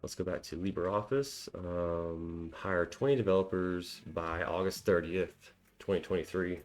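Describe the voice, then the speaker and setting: A man hosting a cybersecurity walkthrough, thinking aloud in a casual, self-deprecating way with frequent ums and small laughs. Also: sits low. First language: English. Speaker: cybersecurity tutorial host.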